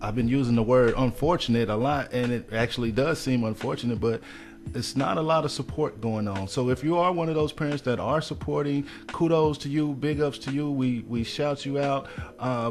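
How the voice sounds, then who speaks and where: A man's voice: mid-range voice, a little mellow. A man speaking as a guest on a radio interview. He is a mentor and counselor, and he earnestly urges parents to support their kids.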